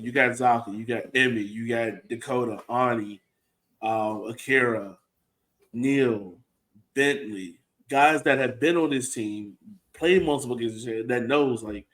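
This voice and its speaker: American male soccer podcast co-host, mid-range pitch, slangy and informal, conversational match breakdown. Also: low-quality recording. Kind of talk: casual sports chat, match breakdown.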